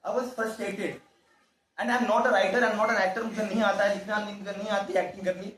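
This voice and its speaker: A man from Kolkata giving an earnest, halting personal account to an audience, slipping into English at times. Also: sits high, flat delivery, fairly bright tone. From Kolkata, India. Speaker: writer and director of the play. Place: stage venue, speaking to an audience. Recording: echoey, distant mic, faint noise.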